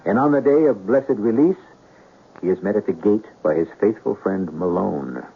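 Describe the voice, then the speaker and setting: A man hosting and narrating a scripted radio drama in a wry, measured, theatrical manner. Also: lowish voice, expressive, vocal fry, slightly rough. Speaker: host and narrator. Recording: tinny radio broadcast with music. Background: music.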